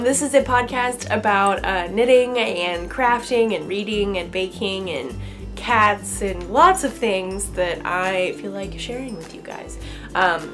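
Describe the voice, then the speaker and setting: A young woman host with a stuffy, allergy-congested voice, chatting casually and upbeat about her crafting week. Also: medium pitch. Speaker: podcast host. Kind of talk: casual crafting chat to camera.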